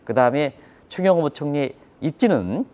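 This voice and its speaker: A man's voice: on the high side, animated, normal speed, darkish tone. A man giving live economic commentary on a broadcast in an emphatic, explanatory manner, posing rhetorical questions.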